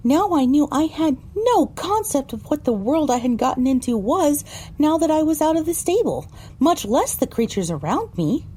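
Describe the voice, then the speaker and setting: A woman's voice: high voice, very animated, moderate pace, warm tone, slight background noise. A woman narrating a first-person story for an audio drama, reading descriptively with dramatic phrasing.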